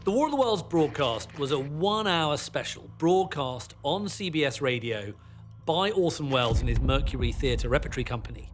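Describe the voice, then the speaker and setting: High-pitched man explaining in an interview. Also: expressive, normal speed, faint music behind. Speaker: documentary interviewee. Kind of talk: informal explanatory interview talk.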